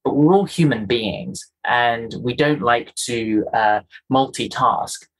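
A man from Kenya talks through his ideas as an interview guest. His voice is a little husky and sits at a middle pitch. He speaks earnestly and with emphasis, often building his points as a run of rhetorical questions. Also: slow, fairly bright tone, poor audio. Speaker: guest, culture and inclusion executive. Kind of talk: conversational interview, explanatory.

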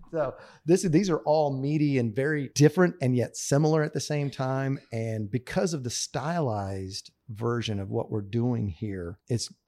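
A man's voice: mid-range voice, a little slow, mellow. A man being interviewed as a guest, talking eagerly and chattily, often restarting his sentences and laughing between thoughts. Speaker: guest actor. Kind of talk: casual conversational interview.

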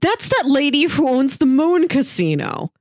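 A woman's voice: sits high, sing-song, measured pace, darkish tone, no room sound, clean studio sound. A woman co-hosting a podcast, chatting in an amused, casual way about a comic's silly logic. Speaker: podcast co-host. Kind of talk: casual comic book discussion.

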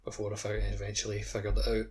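A man's voice: on the low side, completely flat delivery, quick pace, a little mellow. A man talking casually as a co-host on a gaming podcast.

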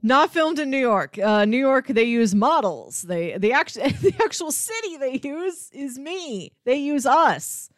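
An American woman co-hosting a podcast, chatting casually about films in a chatty, animated way. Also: high-pitched, unhurried, few pauses, no room sound, studio quality. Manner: chatty, animated, often laughing while talking. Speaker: podcast co-host.

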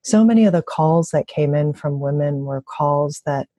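A woman speaks as an interview guest in a low, dark-toned voice with a husky edge. She is reflective and thoughtful, talking conversationally about her own experience. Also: moderate pace, dry recording. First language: bilingual, also speaks Mandarin. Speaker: interviewee, labor organizer and activist.

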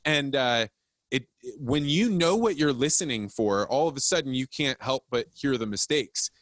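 Man, podcast host, mid-range pitch, casual explanatory talk, practical advice, dry sound. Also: lively intonation, moderate pace, a bit bright, dry recording.

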